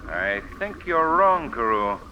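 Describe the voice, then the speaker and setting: Gentleman detective: mid-pitched, expressive, measured, articulate, vintage radio drama, noisy recording. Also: highs cut off. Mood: grave, deliberate. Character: calm, shrewd gentleman sleuth. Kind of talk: 1940s radio acting.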